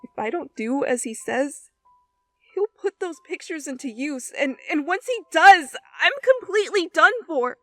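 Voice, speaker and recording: An anxious, sincere schoolgirl acted by a woman narrator speaks in a raised pitch. She is flustered and stammers hesitantly. It is storybook dialogue, recorded dry over faint music. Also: animated, moderate pace, bright, forceful tone, no room sound. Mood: panicked.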